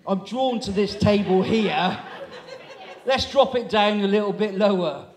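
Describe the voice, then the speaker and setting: A man in his sixties with a fairly high voice holds a handheld mic on a small town hall stage. He chats and teases the audience in easy stand-up crowd work. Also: unhurried. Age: 60s. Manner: chatty, teasing, audience banter. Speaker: comedy compere. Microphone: handheld microphone.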